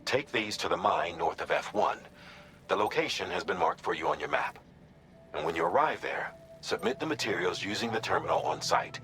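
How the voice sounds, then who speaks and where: A man playing a calm, methodical mission coordinator in a video game, giving formal, measured, precise instructions. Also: low voice, roomy sound. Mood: measured, businesslike. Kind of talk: scripted game mission briefing.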